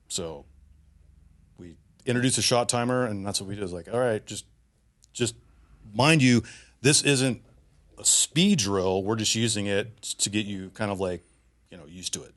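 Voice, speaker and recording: A man's voice: fairly low pitch, a bit bright. A man in his forties, a shooting instructor on a podcast, talking in a loose, rambling way. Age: 40s. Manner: rambling, loose, lots of fillers and restarts. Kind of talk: casual podcast chat.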